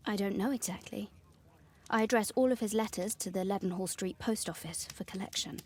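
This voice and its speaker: A woman's voice: mid-range voice, a little fast, darkish tone, husky, natural voice. An actress playing an earnest young Victorian lady in a video game, with a British accent and polite, formal speech. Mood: earnest, discreet. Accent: British English. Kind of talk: video game dialogue acting.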